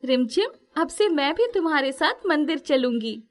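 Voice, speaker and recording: Woman voicing a high-pitched curious young fairy girl. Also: lively intonation, moderate pace. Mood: friendly, eager. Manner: eager, questioning, friendly.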